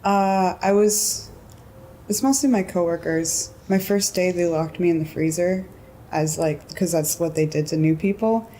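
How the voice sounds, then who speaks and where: A young woman with a notably deep, mellow voice, talking loosely and laughing often. She fills her speech with "like" and "um" in an easy, unguarded way. A faint hiss of background noise sits under the recording. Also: measured pace. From California. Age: 20s. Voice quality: low voice. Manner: relaxed, giggly, lots of "like" and "um" fillers. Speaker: interviewee. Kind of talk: casual interview.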